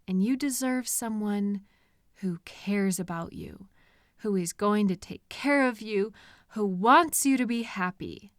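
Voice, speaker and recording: A woman playing a possessive, sweetly menacing stalker in an intimate roleplay audio drama, coaxing softly with lingering pauses. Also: medium pitch, dry recording, studio quality, natural voice. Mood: adoring.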